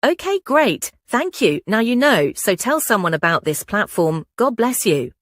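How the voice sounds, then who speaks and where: A woman interviewer asks polite questions that prompt the speaker to go on. Her pitch moves in a lively way, and the recording is dry and close. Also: unhurried, no echo.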